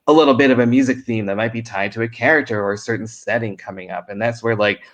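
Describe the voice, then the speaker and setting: A man speaks as a guest in a relaxed discussion of creative work. His phrasing is informal and conversational, scattered with "like" and "you know", and his pitch sits in an ordinary middle range for a man. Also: quick pace. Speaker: audio drama creator, panel guest. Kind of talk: casual discussion of craft.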